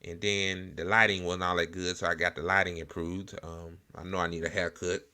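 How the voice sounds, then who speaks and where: An American man hosting a political commentary show, speaking casually and unscripted in an informal, halting way. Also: sits low, flat delivery, sharp tone. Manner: informal, hesitant, halting delivery with blunt slang.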